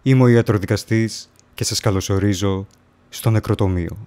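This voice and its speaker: A man playing a sly, macabre coroner who hosts horror stories in a theatrical, deliberate manner. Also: fairly low pitch, steady tone, mellow, clear, resonant tone, natural voice. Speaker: host of horror story series. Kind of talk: theatrical horror anthology introduction.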